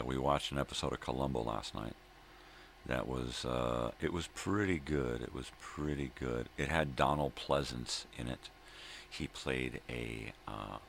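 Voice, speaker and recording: Very deep, gravelly man rambling casually. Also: fairly bright tone. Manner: halting, meandering, repeats himself; grumbling, opinionated, swears casually. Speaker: host of a daily morning video log. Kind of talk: rambling casual solo chat. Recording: noticeable background noise.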